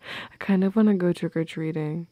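A young woman in an intimate girlfriend audio roleplay, speaking softly and close to the mic with playful, teasing affection. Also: lowish voice, moderate pace, no room sound, highs cut off. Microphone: close microphone, dry.

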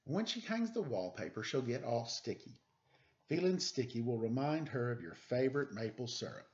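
A middle-aged man with a playful, animated, self-deprecating manner, doing a children's read-aloud with casual, joking asides into a webcam at home. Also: mid-range voice, normal speed. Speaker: storytime reader. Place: indoors, room at home. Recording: dull webcam audio, noisy background, clicks.

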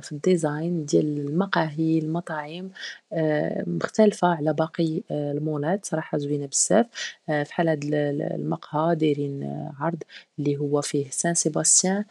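A woman's voice: sits low, fairly even intonation, fast talker, gravelly, warm tone, dry recording. A woman with a Moroccan Arabic accent, chatting casually as a travel vlogger with many hesitations and fillers. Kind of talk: casual travel vlog voice-over.

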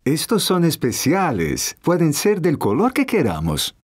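A woman's voice: sits low, wildly swooping pitch, unhurried, a little mellow, slightly rough, full character voice. A woman voicing a friendly, playful parent in a children's cartoon, upbeat and explanatory.

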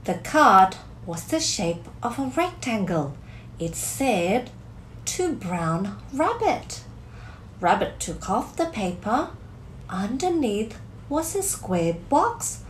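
A woman in her thirties reading a picture book aloud to children, expressive and deliberate, drawing out key words in a small room. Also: medium pitch, slight background noise, rough recording. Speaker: storyteller, teacher reading to children. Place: small curtained room indoors.

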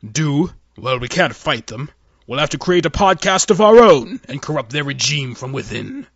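A man's voice: a little high, very animated, moderate pace, gravelly, dry recording, heavily acted. A man acts out a furious alien admiral for a science fiction audio tale. He growls and roars his lines with bombast. The recording is dry and somewhat dull. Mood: furious, commanding.

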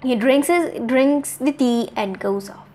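A woman in her twenties with an Indian accent narrates a moral story for children, expressively, with dramatic pauses. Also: high voice, a little mellow. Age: 20s. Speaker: storyteller, host.

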